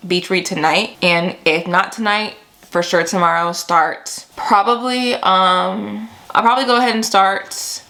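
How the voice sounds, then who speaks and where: A woman's voice: mid-range voice, fairly even intonation, measured pace, pushed and bright. A young woman vlogging in a casual, chatty and informal way, using slang and addressing her viewers as friends. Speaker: book vlogger. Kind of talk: casual vlog chat.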